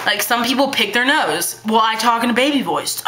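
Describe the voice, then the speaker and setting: A teenage girl vlogging to her webcam in her bedroom, talking fast and hyper in a childlike baby voice, full of fillers. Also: fairly high pitch, animated, noisy background. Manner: bubbly, hyper, chatty with many fillers. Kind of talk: casual chat to camera. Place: small bedroom indoors. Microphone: webcam at arm's length.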